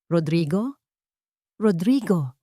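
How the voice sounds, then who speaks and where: A woman's voice: lowish voice, animated, slow, dark tone, slightly rough, no echo, clean studio sound, full character voice. A woman voicing a firm, loving, emotional woman in a dramatic audiobook. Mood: anxious, pleading. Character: firm, loving woman holding back tears. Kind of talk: dramatic audiobook character reading.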